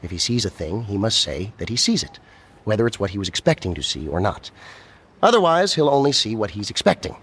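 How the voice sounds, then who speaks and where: An actor playing a gentle, earnest, eccentric male scientist in a radio comedy, husky and sincerely matter-of-fact about absurd things. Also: fairly low pitch, sing-song, brisk, full character voice. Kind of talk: radio comedy drama acting.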